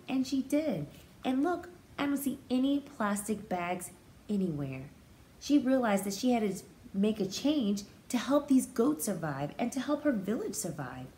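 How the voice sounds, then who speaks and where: A woman reads a picture book to young children in a mid-pitched, very animated voice. She pauses to explain words and feelings simply, as a preschool teacher does. There is audible noise behind her. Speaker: pre-K teacher reading aloud.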